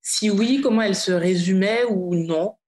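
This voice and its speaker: A woman's voice: medium pitch, normal speed, a little mellow. A woman, speaking conversationally as a podcast host. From France, Paris suburbs. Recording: roomy, echoey sound.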